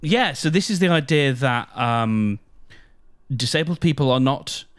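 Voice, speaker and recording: A man's voice: medium pitch, lively intonation, unhurried, clear, resonant tone. A man co-hosting a podcast, explaining ideas in a casual, thoughtful conversational way. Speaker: podcast co-host.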